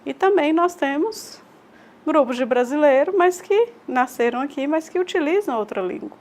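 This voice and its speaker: A woman's voice: high-pitched, animated, moderate pace, a little mellow. A woman with a Brazilian Portuguese accent giving a recorded online lecture. She explains step by step, poses rhetorical questions, repeats key points and checks in with "ok?". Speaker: teacher.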